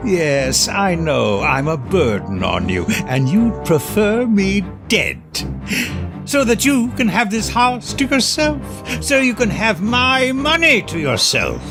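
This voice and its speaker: An actor playing an ill-tempered, sickly, domineering husband in an audio drama, spiteful and accusing. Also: male voice, sits high, very animated, slow pace, full character voice. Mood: bitter, accusing. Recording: loud music behind the voice. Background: music.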